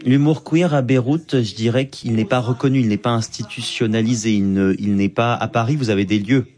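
Male comedian guest, lively and flamboyant, mid-pitched voice, quick asides. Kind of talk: conversational interview talk.

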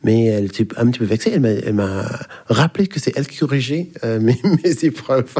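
Mellow Caribbean-born man, native English speaker. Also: mid-range voice, fast talker. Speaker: university linguistics lecturer, interviewee. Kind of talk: recounting a personal anecdote.

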